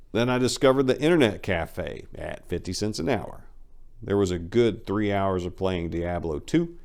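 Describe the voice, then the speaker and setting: A man's voice: deep voice, darkish tone. A man narrating a first-person memoir as a dramatic reading, in a brash, wry, colloquial storytelling manner.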